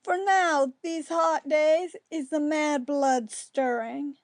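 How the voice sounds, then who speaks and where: A woman's voice: sits high, steady tone, slow pace, dry recording. A woman playing a cautious young man who makes peace, speaking earnest, pleading lines in a Shakespeare audiobook. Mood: anxious, pleading. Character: cautious, peace-making young male friend. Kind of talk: Shakespeare audiobook dramatic reading.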